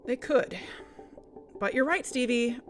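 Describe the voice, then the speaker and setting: An actress playing a measured, practical investigative podcast host in an audio drama. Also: female voice, a little high, soft background music.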